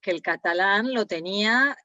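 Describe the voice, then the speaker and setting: A woman's voice: mid-range voice, moderate pace, pushed and bright, no echo, dull audio. A woman co-hosting a podcast interview, asking questions in a conversational way with hesitant fillers. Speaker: podcast co-host.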